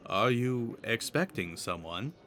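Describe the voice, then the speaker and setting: A man's voice: mid-range voice, animated, slow, a bit bright. A man playing a polite, concerned older male pony in a fan audio drama, speaking tentatively.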